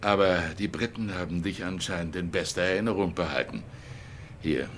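An actor playing a police chief in a German radio drama, businesslike and apologetic but dryly needling, like an old friend. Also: male voice, low voice, a little fast, slight background noise. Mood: dry, teasing. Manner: businesslike, hesitant, dryly teasing. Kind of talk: German radio drama acting, office dialogue.

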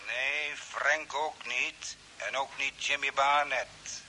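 An actor playing a mysterious, secretive stranger in an old radio drama, speaking in a measured, guarded way through a radio filter. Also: male voice, medium pitch, steady tone, pushed and bright, rough recording. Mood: cryptic, knowing. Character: mysterious, secretive male stranger calling over space radio. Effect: radio transmission filter. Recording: thin radio voice with faint hiss.

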